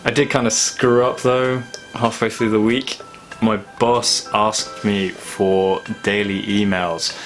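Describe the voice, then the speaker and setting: Low-voiced young man, casual vlogging over music. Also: fairly bright tone. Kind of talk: casual reflective vlog monologue.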